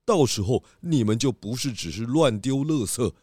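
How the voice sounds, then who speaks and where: A man's voice: medium pitch, lively intonation, normal speed, a little mellow, no echo, studio quality, natural voice. A man voicing a clever, food-loving male pig detective in a children's radio drama, stammering a little when he tries to dodge work. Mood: lecturing.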